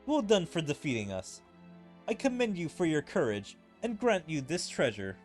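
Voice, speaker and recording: A man's voice: on the high side, huge pitch swings, moderate pace, soft background music. A man reading game story dialogue as a smug, formidable dungeon opponent, haughty and formal. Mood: solemn approval.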